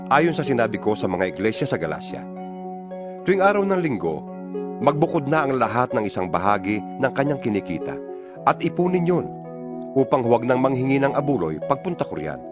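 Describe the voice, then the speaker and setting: A man's voice: medium pitch, sing-song, brisk, natural voice. Man playing a male apostle, Filipino accent, earnest oratory, widely swinging intonation, audio Bible drama, music underneath. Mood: earnest, instructive. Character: male apostle exhorting fellow believers in a letter. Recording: music bed behind the voice.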